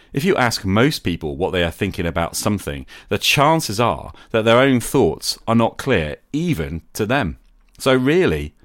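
A man hosting a podcast, delivering a scripted, reflective monologue in a deliberate, explanatory way that addresses the listener directly. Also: low-pitched, dry recording, clean studio sound. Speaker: podcast host.

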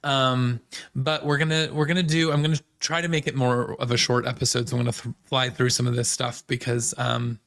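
A man hosting a podcast with a hoarse voice, recapping pop culture in a chatty, gossipy way. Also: mid-range voice, little pitch movement, fairly bright tone. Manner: chatty, gossipy, fast asides. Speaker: podcast host.

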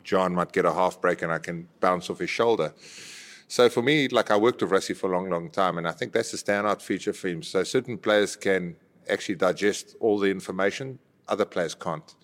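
A man's voice: sits low, brisk. A South African man chatting casually about sport in an anecdotal, conversational way, as a former player. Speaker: former rugby player and podcast regular. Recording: roomy hall-like reverb.

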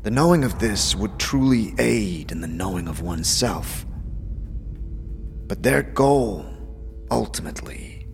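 Fantasy audio drama warrior, performed by a man: stoic and formal, low voice, heavy creak, solemn delivery. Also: expressive, darkish tone, faint music behind. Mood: grave, restrained. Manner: formal, solemn, measured phrasing. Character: grave, stoic, self-reproaching warrior companion, a man.

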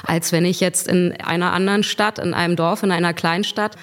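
A woman's voice: on the low side, steady tone, a little fast, big-room sound. A woman, a musician talking casually in an interview, wry and chatty, with many fillers and frequent laughs. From Berlin, Germany.

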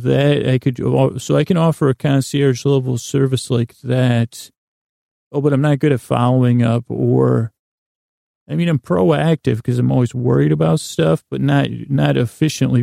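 A man with a creaky, gravelly voice hosting a bedtime podcast, rambling in a halting, self-deprecating, digressive way. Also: medium pitch, warm tone, dry recording, studio quality. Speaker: podcast host. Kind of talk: rambling bedtime-story podcast intro monologue.

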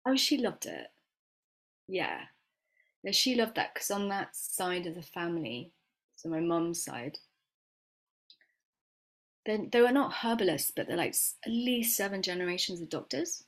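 A woman's voice: fairly low pitch, big pitch swings, unhurried, pauses often. A woman herbalist who grew up in Florida and England, telling her story as an interview guest in a reflective, hesitant way. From grew up in Florida, then England. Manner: reflective, hesitant storyteller with many fillers and restarts. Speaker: guest, herbalist and medicine maker. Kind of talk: conversational interview.

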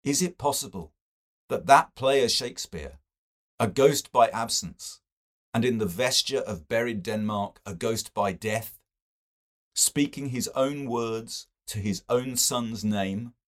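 A man reader plays an earnest young man of letters in a literary novel. He argues theatrically and declaims at a slow pace, in a fairly low register. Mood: insistent. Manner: declamatory, rhetorical. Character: earnest, brash young male intellectual, argumentative and theatrical.

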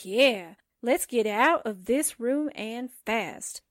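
A woman's voice: a little high, expressive, takes it slowly, a bit bright, no echo, clean studio sound. A woman playing a brash, wide-eyed newspaperwoman sidekick, slangy and exclaiming, in 1940s radio drama style. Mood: uneasy, joking.

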